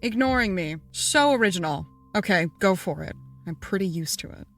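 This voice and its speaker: An actress plays a jaded woman friend with a mid-pitched voice. She is dry, sardonic and a little exasperated, delivered in a natural, understated drama style. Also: lively intonation, moderate pace, soft background music, close to an everyday voice. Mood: resigned. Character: jaded, skeptical, slightly exasperated female friend. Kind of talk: naturalistic audio drama acting.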